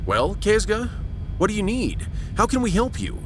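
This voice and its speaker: Guy as space captain, folksy n polite, lively highish male voice, rumbly noise behind. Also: normal speed. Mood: diplomatic. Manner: courteous, folksy, commanding. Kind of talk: sci-fi audio drama acting.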